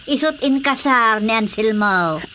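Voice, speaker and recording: A woman's voice: on the high side, expressive, unhurried, background noise. A woman playing a gossipy, complaining village woman in an Ilocano radio drama comedy. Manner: exclamatory, gossipy.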